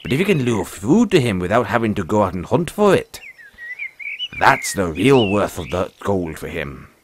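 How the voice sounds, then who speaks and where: A man voicing a friendly, philosophical dragon rider and gentle mentor in an audio roleplay, speaking in a folksy, earnest, musing way. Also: lowish voice, big pitch swings, moderate pace, noisy background. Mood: earnest, explaining. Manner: folksy, earnest, musing, says "aye" and "lad". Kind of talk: audio roleplay addressed to the listener.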